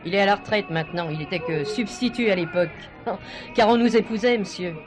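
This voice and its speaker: A woman acts out an older former showgirl, nostalgic and proud, in a stage comedy-mystery. She gossips with emphasis in a colloquial, chatty way at a middling pitch. Music is clearly audible behind her. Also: fast, close to an everyday voice.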